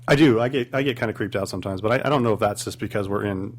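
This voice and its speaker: A man's voice: lowish voice, rapid-fire. A man on a paranormal investigation team, a radio show guest, speaking hesitantly and candidly with many "um"s. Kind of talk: casual radio interview.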